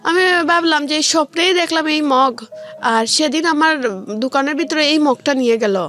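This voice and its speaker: A woman with a Bangladeshi Bengali accent tells a personal story in an interview, speaking colloquially and haltingly and repeating phrases. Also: high voice, fairly bright tone. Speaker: interview guest.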